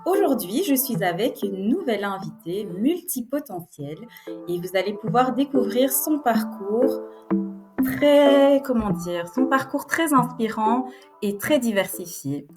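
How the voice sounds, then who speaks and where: A woman's voice: fairly high pitch, animated, a little slow, over music. A woman hosting a podcast interview, asking questions in an encouraging, admiring way. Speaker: podcast host.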